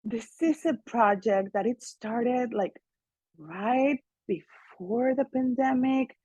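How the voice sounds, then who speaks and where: A woman from Mexico with a Mexican Spanish accent, speaking English as a second language, chatting in an interview in an animated, earnest way with frequent laughter. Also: fairly high pitch, slow, husky, dry recording, lo-fi sound. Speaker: guest singer and actress. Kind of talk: casual interview chat.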